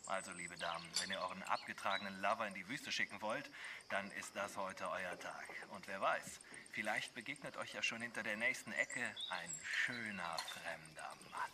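Actor as flippant low-voiced radio DJ. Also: male voice, normal speed, heavy background noise, lightly acted. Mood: playful, flirty. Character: flippant, smooth-talking local radio DJ. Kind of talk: radio host patter in audio drama.

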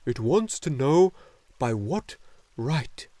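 A man voicing a wise, joyful luck dragon in a storybook, speaking slowly and solemnly with great deliberation. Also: medium pitch, very animated, dark tone, no echo. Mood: solemn, measured. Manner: slow, solemn, deliberate delivery.

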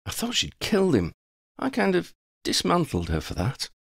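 Audiobook role: cocky, playful young huntress, read by a man, lifted pitch, very animated delivery. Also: moderate pace, vocal fry, husky, dry recording, studio quality, full character voice. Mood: flippant, boastful. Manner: cocky, flippant, tough-talking. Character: brash, tough, playful young woman werewolf hunter. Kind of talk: audiobook character voice.

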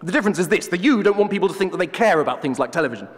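A man's voice: high voice, expressive, rapid-fire, big-room sound, voice pushed up. A man voicing a pompous male wolf scholar who talks in a plummy, condescending way.

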